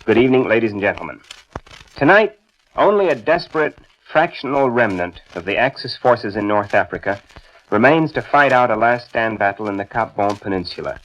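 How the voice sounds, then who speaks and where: A man's voice: on the low side, lively intonation, brisk, fairly bright tone, poor audio. A man giving formal, scripted 1940s radio war commentary as a news analyst.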